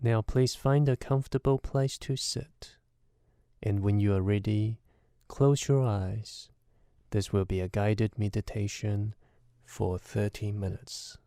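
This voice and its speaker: A man guiding a meditation, giving measured instructions in short phrases with deliberate pauses. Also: fairly low pitch, fairly even intonation, warm tone, no echo, clean studio sound. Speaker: meditation guide. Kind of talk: guided meditation narration.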